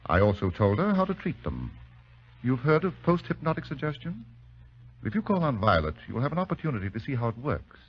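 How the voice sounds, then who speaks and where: An actor playing a suave, menacing aristocratic villain in old radio drama style, smugly amused and condescending. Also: male voice, medium pitch, huge pitch swings, breathy edge. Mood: smug amusement. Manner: silky, condescending, deliberate menace. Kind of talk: 1940s radio drama acting. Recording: dull, lo-fi old radio recording.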